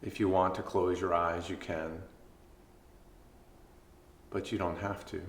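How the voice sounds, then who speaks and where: A man leading a guided body scan meditation as a mindfulness instructor, speaking gently with unhurried pauses between phrases. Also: low-pitched, flat delivery. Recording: echoey room, faint background noise.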